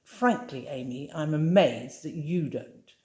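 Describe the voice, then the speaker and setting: A woman reading a story aloud, voicing a grave, patronizing woman who tells a ghost story slowly. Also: dark tone. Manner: grave, patronizing, low and deliberate. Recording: roomy echoey room.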